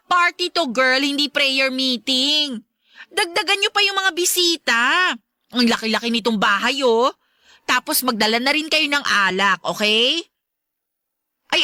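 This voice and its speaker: A spiteful Filipino stepmonster in a radio drama. Her high, bright voice swings widely as she condescends and taunts with theatrical sweetness. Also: no room sound, clean studio sound. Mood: bossy, chatty. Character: spiteful, brazen stepmonster girlfriend of a widowed father.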